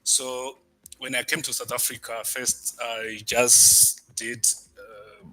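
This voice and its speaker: A man's voice: medium pitch, little pitch movement, takes it slowly, bright, forceful tone, low-quality recording. A man from Zimbabwe introducing himself at an online event over a video call, conversational with small restarts. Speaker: guest speaker.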